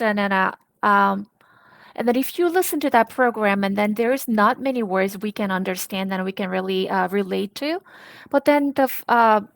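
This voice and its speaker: A woman interpreting a personal testimony live, speaking haltingly and building sentences from short phrases. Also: medium pitch, no room sound.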